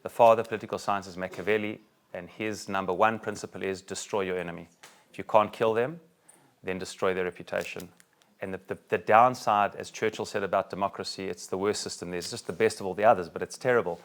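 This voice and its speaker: A middle-aged South African-born rabbi giving a talk to a group and taking questions, joking and animated, with many restarts. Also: male voice, sits low, quick pace. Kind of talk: talk with audience Q&A. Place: room with a live audience.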